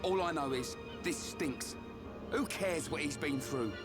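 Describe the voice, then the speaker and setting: A man's voice: a little high, a little slow, over loud music, close to an everyday voice. An actor playing a brash, hot-headed young male fighter. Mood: angry. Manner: loud and blunt. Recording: game cutscene with loud score.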